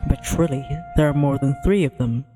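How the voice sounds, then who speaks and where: A woman voicing a gentle, reassuring male guardian tiger spirit in a visual novel, soft-spoken and earnest. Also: a bass voice, normal speed, soft background music, voice pushed down. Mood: earnest, explaining.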